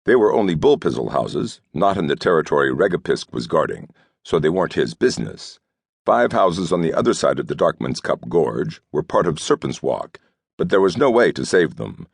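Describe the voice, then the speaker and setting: A man narrating an audiobook novel in a scripted storytelling style, with a gravelly voice. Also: a bass voice, sing-song, moderate pace, no echo, clean studio sound.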